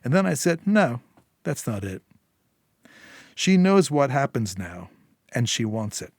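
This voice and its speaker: Male narrator as storybook crime fixer: cool, confident, menacing, deliberate and sardonic, dry close sound. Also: medium pitch, big pitch swings, dry recording. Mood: knowing, menacing.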